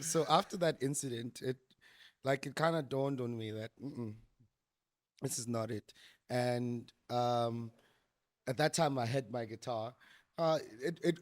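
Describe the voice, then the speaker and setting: A man's voice: mid-range voice, fairly even intonation, slow. A man in his thirties, a musician guest on a podcast, laughing often and restarting his sentences. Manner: giggly, hesitant, many restarts. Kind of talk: casual interview chat.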